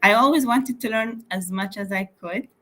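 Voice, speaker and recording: A woman's voice: mid-range voice, moderate pace, fairly bright tone. A young woman raised in Malaysia, talking about her work as an interior architect in a casual interview over a video call, with many fillers and restarts. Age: young adult.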